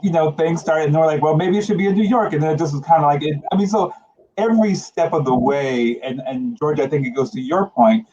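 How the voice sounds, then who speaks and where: Effusive male panelist, higher-pitched and mellow, casual delivery, dull lo-fi recording. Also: quick pace. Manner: effusive, gushing. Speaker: creative team member, panel guest. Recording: muffled lo-fi audio, clear background noise.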